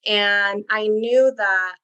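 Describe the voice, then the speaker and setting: A woman's voice: mid-range voice, drawn-out words, sharp tone, smooth. A woman whose first language is Spanish, a career coach guest speaking earnestly and reflectively in a podcast interview.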